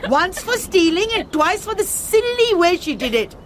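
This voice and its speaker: A woman's voice: very high-pitched, very animated, normal speed, a little hiss or hum. An actress playing a spiteful, bossy servant woman in a radio drama, chattering and scolding with glee. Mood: gleeful scolding.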